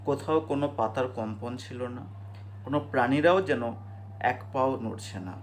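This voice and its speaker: A man's voice: medium pitch, moderate pace. A man narrating a scripted cartoon ghost story in a storyteller's style. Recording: clear background noise and ambience.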